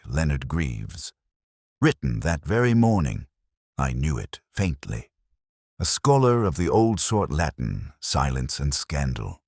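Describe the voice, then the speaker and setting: A man playing a weary, melancholy older police inspector, narrating a mystery drama in a measured, wry, literary manner. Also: extremely low pitch, a little mellow, no room sound, studio quality, heavily acted. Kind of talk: slow literary first-person mystery narration.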